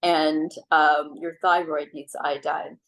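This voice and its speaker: Chatty middle-aged woman, lowish voice. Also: takes it slowly, low-quality recording. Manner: relaxed, chatty, frequent ums. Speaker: wellness speaker. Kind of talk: casual advice talk on a video call. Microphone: video call.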